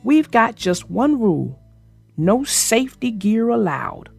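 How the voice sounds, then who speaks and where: A woman's voice: fairly high pitch, sing-song, slow, creaky, darkish tone, husky, faint music behind, exaggerated voice. A woman voicing a cocky, sneering young male grizzly bully in a storybook, taunting and swaggering. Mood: boastful.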